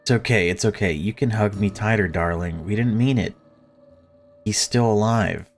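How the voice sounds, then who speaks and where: A man voicing a subdued father of few words in a horror visual novel, quietly reassuring. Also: on the low side, moderate pace, faint music behind, close to an everyday voice. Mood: soothing.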